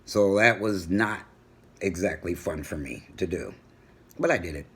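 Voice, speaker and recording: A middle-aged man with a booming voice, talking assertively in a personal home vlog. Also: unhurried, husky. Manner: assertive, animated storytelling. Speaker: vlogger. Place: home room with a world map on the wall.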